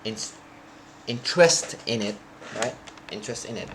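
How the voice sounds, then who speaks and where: A young man, a native Thai speaker, chatting loosely to his live stream viewers, often halting and restarting as he searches for words. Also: medium pitch, expressive, slight background noise. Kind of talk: casual stream chat to viewers.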